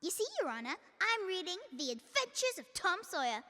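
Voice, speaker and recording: Young woman playing a very high, eager angel. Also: big pitch swings, fairly quick, sharp tone, exaggerated voice. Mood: chirpy, confident. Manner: chirpy, earnest. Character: eager, naive second-class female apprentice angel. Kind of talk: stage-like radio drama acting. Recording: long hall-like reverb effect.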